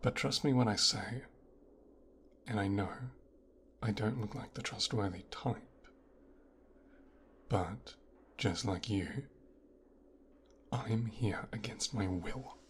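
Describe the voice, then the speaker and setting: Man acting slow, low-voiced, hushed mafia heir. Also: slight background noise. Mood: cool, warning.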